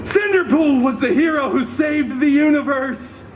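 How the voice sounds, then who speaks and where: A man's voice: very high-pitched, a little slow. A man playing a cheeky, wisecracking costumed superhero, telling a mock fairy tale live with sarcastic, theatrical asides. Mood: mock-grand. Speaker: costumed theme park character performer telling a story. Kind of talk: live comic storytelling to an audience. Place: theme park show before an audience. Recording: live crowd, noisy, distant mic.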